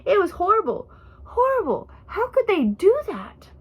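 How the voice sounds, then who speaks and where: A woman's voice: very high-pitched, sing-song, normal speed, voice pushed up. A woman voicing a horrified younger missionary woman in a storybook, wailing and emotional. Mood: horrified, distraught. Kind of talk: storybook character reading.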